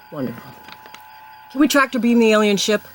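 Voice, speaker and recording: A woman's voice: medium pitch, very animated, unhurried, slight background noise. A woman playing a weary but decisive starship captain in a sci-fi audio drama, terse and wry. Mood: reluctant.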